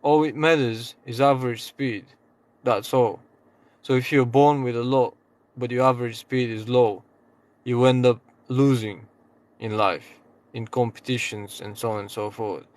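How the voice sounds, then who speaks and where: A man speaking English as a second language, talking casually to camera about success and mindset. His voice sits at an ordinary middle pitch. He rambles, restarts words mid-thought, and drops the odd curse word. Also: steady tone, unhurried, no room sound. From outside the UK. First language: not English. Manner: rambling, self-correcting, swears freely. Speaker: vlogger, trading channel host. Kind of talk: casual motivational talk to camera.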